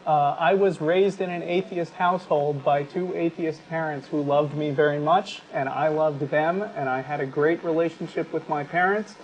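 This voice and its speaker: A man co-hosting an unscripted talk show, explaining his views in a measured, halting way. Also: fairly high pitch. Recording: dull lo-fi sound with clear background noise.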